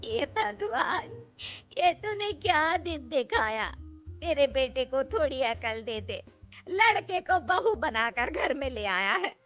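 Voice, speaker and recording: A woman's voice: high voice, very animated, takes it slowly, a bit bright, lo-fi sound, heavily acted. A woman voicing a middle-aged Indian mother who scolds and wails over her son in a melodramatic story. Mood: wailing. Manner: theatrical, scolding, plaintive. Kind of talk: melodramatic Hindi story voice acting. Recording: background music.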